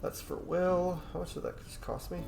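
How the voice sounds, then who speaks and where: A man's voice: sits high, little pitch movement, moderate pace, vocal fry. Man muttering through gameplay over music. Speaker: game streamer doing a playthrough. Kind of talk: casual gameplay commentary. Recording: game music behind voice. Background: video game music.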